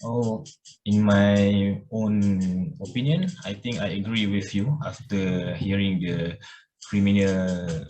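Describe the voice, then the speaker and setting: Low, slow, mellow young man. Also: steady tone, rough recording. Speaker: student in group discussion.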